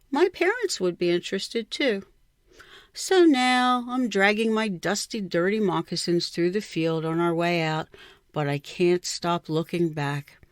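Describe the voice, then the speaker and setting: A woman giving an expressive scripted reading of a personal short story. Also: on the low side, no room sound, clean studio sound. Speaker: writer or reader of a short story.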